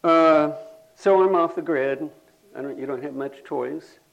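Gravelly folksy older man. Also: a little high, a little slow, lo-fi sound, soft background music. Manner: folksy, drawling, says y'all. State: clears throat often. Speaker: storyteller at a community gathering. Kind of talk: folksy rambling storytelling.

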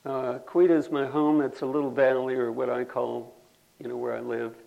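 Gravelly folksy older man. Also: mid-range voice, level tone, fairly quick, dull audio. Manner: folksy, drawling, says y'all. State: clears throat often. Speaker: storyteller at a community gathering. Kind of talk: folksy rambling storytelling.

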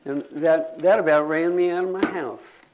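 An older man, telling folksy rambling stories to a gathering and clearing his throat now and then. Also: on the high side, fairly even intonation, moderate pace, dull audio, very noisy. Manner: folksy, drawling, says y'all. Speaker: storyteller at a community gathering.

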